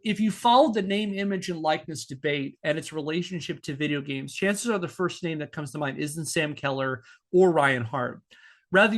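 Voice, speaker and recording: A man's voice: a little high, a bit bright. A man hosting a podcast, explaining a story in a conversational but partly scripted way, with asides. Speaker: podcast host.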